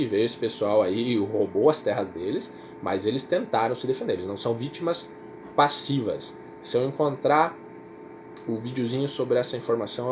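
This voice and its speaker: A man's voice: medium pitch, darkish tone, a little hiss or hum. A Brazilian man teaching a history class in a casual, repetitive way, often checking in with his students. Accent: Brazilian Portuguese. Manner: casual, repeats himself, checks in with "certo?" and "tá?". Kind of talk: informal recorded class lecture.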